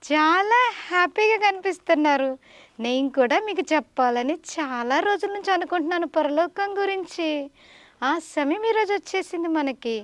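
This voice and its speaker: A woman speaking as a Sunday school teacher, telling children a religious story deliberately and checking in with questions. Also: extremely high pitch, animated, no room sound.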